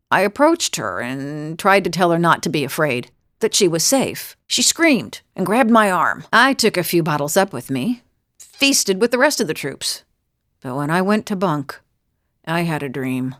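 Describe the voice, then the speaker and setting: A woman's voice: on the low side, no room sound, studio quality, natural voice. A woman voicing a bold, teasing female fighter and wanderer in a fantasy video game, with a wry, conversational delivery. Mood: troubled, confiding.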